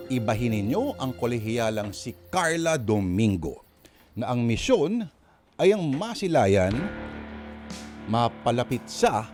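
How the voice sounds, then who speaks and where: A man hosting and narrating a radio drama in a theatrical, emphatic scripted style, pausing between phrases. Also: medium pitch. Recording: music playing behind the voice.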